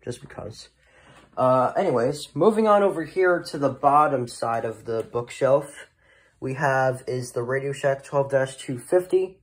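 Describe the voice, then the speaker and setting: Man, hobbyist presenter, mid-pitched, off-the-cuff and halting, recorded in a room at home. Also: animated. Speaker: hobbyist presenter of a weather radio collection. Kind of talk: casual unscripted show-and-tell narration.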